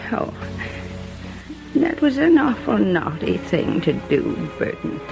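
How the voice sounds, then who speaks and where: A frail old aunt in a vintage radio drama, speaking slowly with a creaky, swooping voice. She scolds gently and haltingly, with music underneath. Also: medium pitch, sing-song, full character voice, poor audio. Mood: weak, sorrowful. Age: older. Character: dying elderly aunt, gently scolding her murderous nephew. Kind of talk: 1950s radio drama acting.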